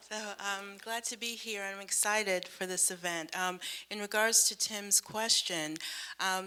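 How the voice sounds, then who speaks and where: Lowish, bright-toned woman consultant on a panel. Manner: upbeat, quizzes the audience. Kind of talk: panel talk to students. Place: event panel before a student audience. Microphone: microphone at a panel table.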